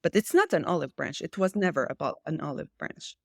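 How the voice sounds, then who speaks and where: A woman's voice: deep voice, animated, fairly quick, vocal fry, no room sound. Woman, interview guest, political discussion, emphatic, challenging, insistent, repetitive for emphasis, dry recording. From Israel. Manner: repeats key phrases for emphasis.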